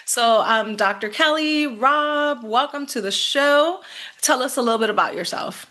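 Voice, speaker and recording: A woman hosting an interview podcast, encouraging and a little halting as she frames her questions. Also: high voice, pushed and bright. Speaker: podcast host.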